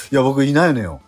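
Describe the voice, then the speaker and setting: A man's voice: medium pitch, slightly rough. A man with a Kansai accent hosting a radio show, chatting casually about theater rehearsals and often nodding along and laughing. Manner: relaxed, frequent backchannel nods and laughter.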